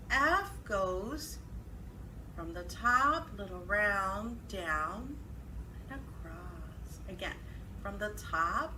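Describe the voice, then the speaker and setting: A woman's voice: mid-range voice, bright, forceful tone, slight background noise. A woman presenting a children's storytime, teaching in simple, repeated phrases as she guides young listeners through activities.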